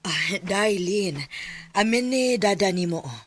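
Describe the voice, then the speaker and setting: A woman's voice: medium pitch, animated, a little slow, noisy background, close to an everyday voice. A woman playing a practical, reassuring mother in a radio drama, with a Filipino accent. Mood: explaining, gentle.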